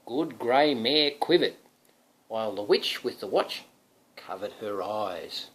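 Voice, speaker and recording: A man reads a children's picture book as a dad to his young son, with a lively, swooping pitch. He takes his time, stretching out key words, and breaks off into chatty asides to the child. His voice is a little husky. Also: slow, rough recording. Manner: expressive, drawing out words and prompting the child listener. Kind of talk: storybook read-aloud with chatty asides.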